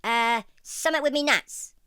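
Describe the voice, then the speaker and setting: A performer with a woman's pitch voicing a goofy, doubtful little squirrel sidekick in a children's cartoon, cheeky and childlike. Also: normal speed, sharp tone, clear, resonant tone, no room sound, clean studio sound. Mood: curious, pleased. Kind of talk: children's cartoon character banter.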